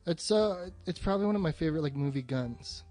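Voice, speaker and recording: Guy with a slightly high voice talking movies, swears a lot, slangy, music behind him. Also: animated, fast talker, warm tone. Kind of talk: casual chat about films.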